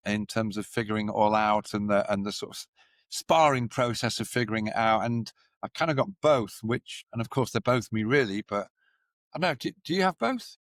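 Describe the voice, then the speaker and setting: A man's voice: lowish voice, no room sound, studio quality. A man who founded and runs a company, a podcast guest speaking in a reflective way, thinking aloud with hedging fillers. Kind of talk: casual conversational interview.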